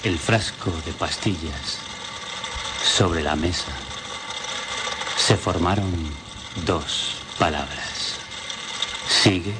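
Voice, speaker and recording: An actor playing a grave, ominous storyteller in a radio drama, speaking in deliberate, halting phrases. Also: male voice, low-pitched. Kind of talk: slow dramatic radio narration. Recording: very loud mechanical rattling noise.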